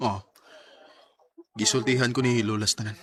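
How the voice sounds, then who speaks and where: A man's voice: fairly low pitch, steady tone, moderate pace, a bit bright, lightly acted. A man acting a hurt but forgiving young son in a radio drama, earnest and emotional, with a Cebuano accent. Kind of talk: radio drama acting and first-person narration.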